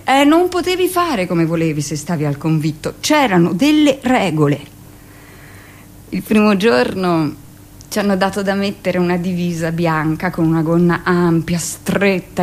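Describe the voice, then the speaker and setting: A woman playing a middle-aged midwife in a theatrical radio monologue, telling her story in an emphatic, colloquial way, brisk and self-justifying. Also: fairly low pitch. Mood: reminiscing.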